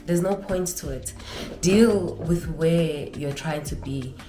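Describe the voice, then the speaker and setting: Vlogger, woman, young adult, low voice, nasal and stuffed up, echoey room with music underneath. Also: takes it slowly, dark tone. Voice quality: nasal from congestion. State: congested. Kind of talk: casual advice vlog.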